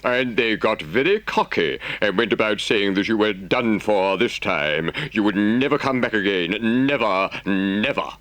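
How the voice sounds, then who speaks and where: A man's voice: on the low side, expressive, measured pace, hardly any pauses, a bit bright, dry recording, voice pushed up. A man voicing an earnest, indignant water rat in an audiobook, emphatic and dramatic as he speaks to a friend. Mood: grave, confiding. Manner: emphatic, scolding, dramatic storytelling. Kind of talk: audiobook character voice.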